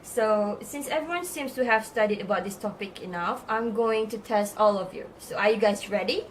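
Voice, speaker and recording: A woman's voice: medium pitch, moderate pace, slight background noise, natural voice, low-quality recording. A woman playing an encouraging but firm female teacher in a scripted student puppet show lesson. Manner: instructive, addresses class with questions and praise. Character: encouraging female teacher, praising yet gently scolding her students.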